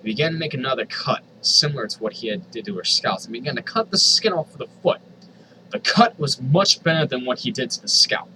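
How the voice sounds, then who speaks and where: A man's voice: medium pitch, lively intonation, brisk, background noise. A man reading a story aloud in a theatrical, comedic way, with incredulous asides. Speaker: host reading fan fiction aloud.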